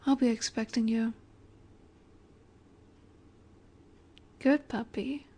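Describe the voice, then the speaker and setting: A woman playing an ageless, possessive vampire in a romantic audio roleplay, speaking softly and breathily, often near a whisper, with a wistful, elegant, gently commanding manner. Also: on the high side, steady tone, moderate pace, husky. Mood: gently commanding, fond. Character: ageless female vampire boss's daughter, wistful, tender, possessive.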